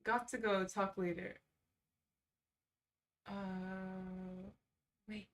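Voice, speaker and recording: A woman's voice: medium pitch, flat delivery, extremely slow, far from the mic, slight background noise. A woman hosting a casual livestream, chatting informally and reacting off the cuff with sarcastic asides. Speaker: livestream host. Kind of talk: casual livestream chat, reading quizzes aloud.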